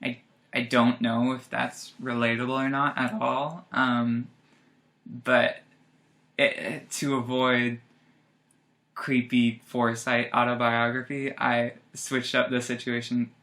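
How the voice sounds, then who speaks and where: A young male student writer gives an informal introduction to his play. He sounds hesitant and self-deprecating, with a medium pitch and plenty of vocal fry. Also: little pitch movement, takes it slowly, fairly bright tone. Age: young adult. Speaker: student playwright.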